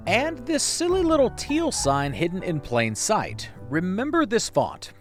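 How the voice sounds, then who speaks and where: A man narrates an online video in a fairly high, very animated voice. His delivery is scripted but playful, full of wry and self-deprecating asides. Soft music plays underneath. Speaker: YouTube video essay narrator.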